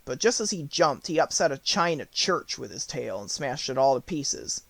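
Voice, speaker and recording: A man's voice: mid-range voice, sing-song, normal speed, vocal fry, a bit bright, no echo. Man narrating a storybook, very dry sound. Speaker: audiobook narrator.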